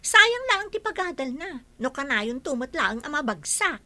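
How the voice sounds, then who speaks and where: A woman's voice: high voice, very animated, normal speed, sharp tone, no room sound, exaggerated voice. A woman playing an ambitious, disdainful elder sister in a radio drama, pert and self-assured. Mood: dismissive.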